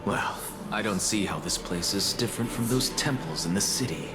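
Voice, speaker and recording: A man's voice: lowish voice, animated, normal speed, over loud music, natural voice. An actor playing a proud, sardonic male scholar in a video game, dying but stubborn, with a dry, dismissive, theatrical delivery. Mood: dry, skeptical. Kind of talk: English video game voice acting.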